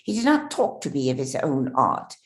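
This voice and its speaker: A woman playing a discreet, courteous gentleman confidant in a period drama reading, speaking formally. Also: extremely low pitch, very animated, normal speed. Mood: earnest, discreet.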